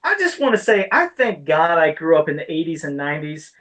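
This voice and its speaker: A woman's voice: low voice, very animated, a little fast, fairly bright tone. A woman in her thirties hosting a casual pop culture podcast, talking in an opinionated, rambling way peppered with swearing. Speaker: podcast host.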